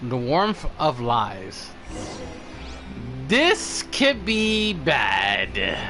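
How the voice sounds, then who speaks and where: A man's voice: mid-range voice, huge pitch swings, very slow, over music. A man streaming a game with casual, blunt commentary and informal muttered asides.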